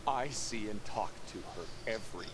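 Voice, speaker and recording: A man's voice: on the low side, normal speed, lightly acted. A man playing an earnest, insistent priest and loyal husband in a horror game. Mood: insistent, defensive. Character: earnest priest, a devoted husband defending his missing wife. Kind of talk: dramatic game dialogue. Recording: clear background ambience noise.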